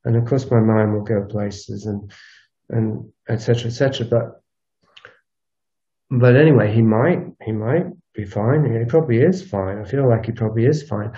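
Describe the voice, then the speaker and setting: Gravelly low-voiced man musing aloud. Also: mellow. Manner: halting, musing, circles back and repeats phrases. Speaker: podcast host. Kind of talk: solo reflective spoken audio log, unscripted. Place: outdoors, recorded while walking. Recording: dull lo-fi outdoor recording.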